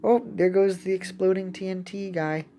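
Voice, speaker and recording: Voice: medium pitch, normal speed, dark tone. A young adult giving casual, playful gameplay commentary in a rambling, chatty way. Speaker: gaming video host. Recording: clearly audible background noise.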